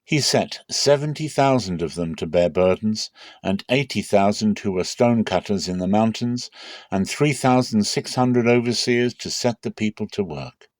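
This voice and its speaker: Male narrator, fairly low pitch, dramatic scripture reading, measured and solemn, dry close-miked sound. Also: dry recording. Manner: solemn, measured, deliberate phrasing. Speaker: Bible reader.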